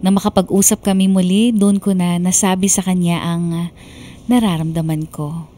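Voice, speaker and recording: A woman's voice: on the low side, fairly even intonation, moderate pace, music in the background, lightly acted. A woman voicing a sentimental young woman narrating her love story in a Filipino radio drama. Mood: wistful. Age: young adult. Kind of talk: scripted dramatic first-person narration.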